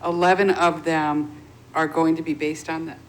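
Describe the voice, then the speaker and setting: A woman's voice: deep voice, little pitch movement, normal speed, a little hiss or hum, rough recording. A woman serving as committee clerk, asking polite, careful clarifying questions at a city meeting.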